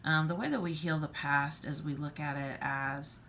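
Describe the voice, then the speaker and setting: A hoarse woman, losing her voice, giving a casual tarot reading in an earnest, rambling, reassuring way. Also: low voice, fairly even intonation, normal speed, a bit bright, a little hiss or hum. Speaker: tarot reader.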